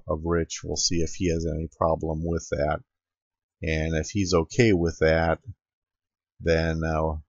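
A man with a gravelly, creaky voice talks slowly through a hands-on tutorial, pausing as he explains each step. His delivery is halting and unscripted. The sound is a dry, slightly muffled lo-fi recording made at a home computer. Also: sits low, no room sound. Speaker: amateur radio operator giving a demonstration.

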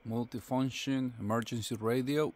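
A man reviewing a product casually, thinking aloud in short, halting fragments. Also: medium pitch, fairly even intonation, a little mellow, slight background noise.

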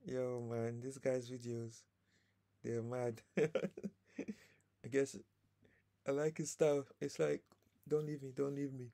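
A man's voice: mid-range voice, little pitch movement, slow, vocal fry, pauses often, dark tone, slight background noise. A man hosting a casual reaction video from home, laid back and laughing along.

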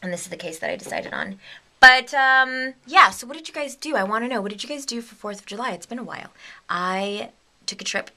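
Young woman, bright voice, vocal fry, rambling, sleepy, close handheld camera. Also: a little high, animated, a little fast. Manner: bubbly, rambling, giggly, drops to a whisper at times. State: sleepy and a bit delirious. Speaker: vlogger. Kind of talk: casual vlog chat. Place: home video studio with a big red chair and green screen. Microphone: handheld camera held close to the face.

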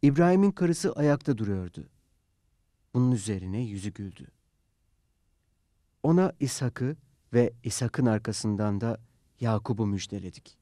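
A man's voice: medium pitch, lively intonation, unhurried, long pauses, warm tone, dry recording, clean studio sound. A man reciting translated scripture in a solemn, reverent and dramatic manner. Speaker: reader.

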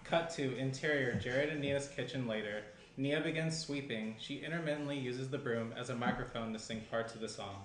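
A man's voice: mid-range voice, normal speed, few pauses. Young man narrating in a roomy space. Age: young adult. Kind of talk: scripted screenplay narration. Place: small indoor room at a round table. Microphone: shared desk microphone. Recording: roomy echo, faint noise.

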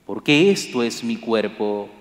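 A middle-aged priest celebrating Mass at the altar, reciting liturgical prayers in a measured, solemn way. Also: male voice, lowish voice, animated. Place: large church sanctuary, at the altar. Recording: echoey church with long reverb.

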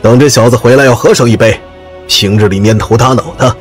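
A man's voice: mid-range voice, a little fast, natural voice. Man acting a boisterous martial-arts companion. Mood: amused, teasing. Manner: boisterous, teasing. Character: hearty, blunt male martial-arts companion. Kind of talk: audio drama acting. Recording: music under the voice.

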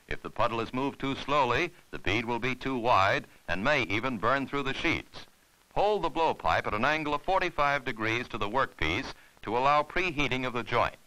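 A man's voice: medium pitch, pushed and bright, rough recording. A man narrating an instructional film in a formal, scripted, step-by-step way.

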